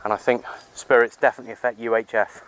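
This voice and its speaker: A man's voice: mid-range voice, level tone, fast talker, fairly bright tone, poor audio. A man chatting casually outdoors, with informal, matey slang, like a radio hobbyist making a vlog. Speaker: amateur radio operator and vlogger. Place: outdoors on a hill. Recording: clear outdoor background noise.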